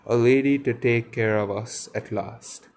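A man's voice: medium pitch, fairly even intonation, a little slow, darkish tone, natural voice. Awestruck storybook lost boy, read by a man: anxious, plaintive, dramatic, over faint background noise. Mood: sorrowful. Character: band of anxious, awestruck lost young boys.

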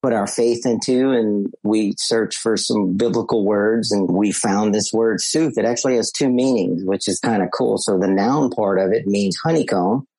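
A man talks in a relaxed, easygoing way and often doubles back on his words. His voice is low and a little husky. The sound is dry and close to the mic. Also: moderate pace, hardly any pauses, no room sound, low-quality recording. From South Louisiana. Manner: easygoing, repeats words while thinking. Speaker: podcast guest, beekeeper. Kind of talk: casual interview chat.

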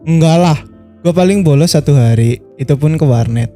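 Higher-pitched, mellow young male sidekick. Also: moderate pace. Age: young adult. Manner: joking, short interjections, swearing. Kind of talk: casual slangy banter. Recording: music behind, cheap humming microphone. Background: faint music.

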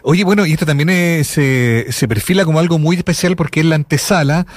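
A man's voice: on the high side, brisk, no room sound. A man with a Chilean accent hosting a live radio interview. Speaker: radio host.

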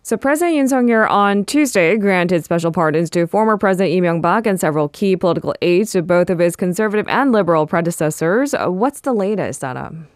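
A woman hosting a news discussion, reading calm scripted introductions and adding short listening cues. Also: lowish voice, brisk, hardly any pauses, dry recording, clean studio sound. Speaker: news show host.

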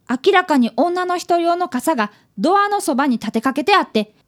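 A woman acts out a fifth-grade boy training as a detective in a radio drama. The voice is very high and swings animatedly in pitch. He speaks politely and earnestly, both in dialogue and narration.

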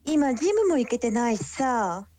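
A woman's voice: fairly high pitch, big pitch swings, moderate pace, a little mellow, no room sound. A woman playing a friendly, casually chiding senior office worker in a naturalistic radio drama. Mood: chatty, sympathetic. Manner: casual, familiar, senior-to-junior talk.

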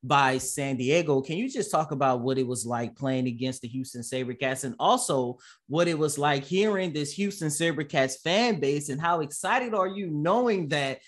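A man's voice: on the high side, normal speed, few pauses. A man hosting a sports interview podcast, asking long, wordy, formal questions. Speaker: interviewer and show host.

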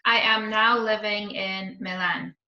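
A woman's voice: mid-range voice, fairly even intonation, takes it slowly, sharp tone. A woman in her thirties from Iran, a podcast guest chatting casually with many hesitant ums. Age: 30s. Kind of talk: casual chat. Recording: roomy, dull lo-fi sound.

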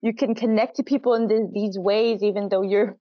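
A woman's voice: medium pitch, steady tone, a little fast, no echo. Woman co-host, dull dry audio. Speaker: podcast co-host. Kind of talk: casual interview chat.

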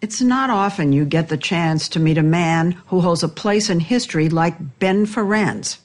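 Woman narrating a news feature. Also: fairly low pitch. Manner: measured, deliberate. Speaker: news correspondent. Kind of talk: scripted narration.

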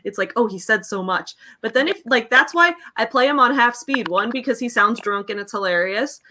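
A woman's voice: fairly high pitch, lively intonation, a little fast, pushed and bright, slight background noise. A woman writer and editor chatting casually on a stream, agreeable and wry.

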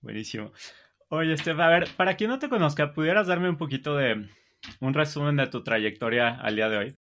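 A man's voice: fairly high pitch, lively intonation, fairly quick, a bit bright. A man hosting a podcast, reading a scripted welcome and then asking questions in an upbeat, welcoming way. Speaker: podcast host. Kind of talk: scripted intro then interviewing.

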